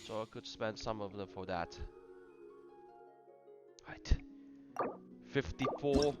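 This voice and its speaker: A man giving casual gameplay commentary in a halting, rambling way, often repeating his phrases. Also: on the low side, music in the background. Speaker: gamer commenting on his own play.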